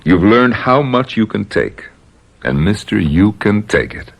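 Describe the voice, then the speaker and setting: A man's voice: lowish voice, animated, a little slow, gravelly, heavily acted. An actor playing a commanding spy chief in a radio drama, assured and placating as he explains. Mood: reassuring, pleased. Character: male spy chief, smooth, commanding, in control.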